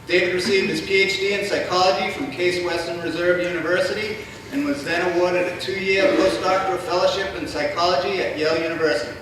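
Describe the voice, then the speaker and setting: A man's voice: high voice, steady tone, fairly quick, hardly any pauses, fairly bright tone. Male event host reading a formal bio; distant mic, very echoey room with background noise. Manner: reading a bio, light joking. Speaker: event host introducing the speaker. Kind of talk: formal introduction. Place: lecture hall.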